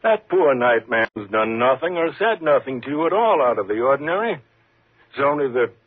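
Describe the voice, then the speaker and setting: Husky-voiced, patronizing prison warden. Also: male voice, mid-range voice, very animated. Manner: measured, reassuring, condescending. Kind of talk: 1950s radio thriller acting. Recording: muffled, lo-fi 1950s radio recording.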